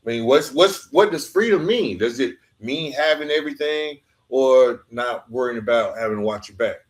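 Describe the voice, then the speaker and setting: A man hosting a conversational podcast interview in a halting, reflective, gently affirming way. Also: mid-range voice, lively intonation.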